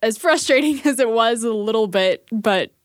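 A woman's voice: on the high side, animated, moderate pace, no room sound, clean studio sound. A young American woman chatting casually as a radio show panelist. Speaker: assistant producer, panelist. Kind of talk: casual chat. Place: studio.

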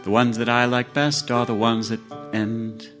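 A man's voice: medium pitch, little pitch movement, unhurried. A man telling a fairy tale as a musical storytelling piece. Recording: guitar music under the voice. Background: plucked guitar.

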